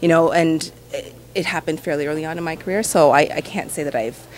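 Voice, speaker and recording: A woman in her thirties, a TV host from Nova Scotia, answering interview questions in a relaxed, chatty way full of "you know" and "um". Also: low voice, quick pace, a little hiss or hum, low-quality recording. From Canada, Nova Scotia.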